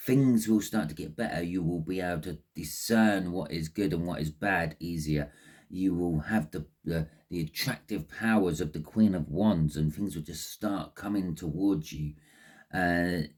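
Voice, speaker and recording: A man's voice: low voice, darkish tone, husky. A man with a phlegmy throat giving a casual, rambling tarot reading, often repeating words and restarting sentences. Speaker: tarot reader.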